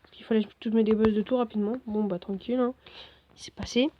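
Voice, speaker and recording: A hoarse woman giving relaxed, rambling commentary over her own game replays, full of fillers and restarts. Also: fairly high pitch, fast, lo-fi sound. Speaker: gaming video host. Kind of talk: casual gameplay commentary.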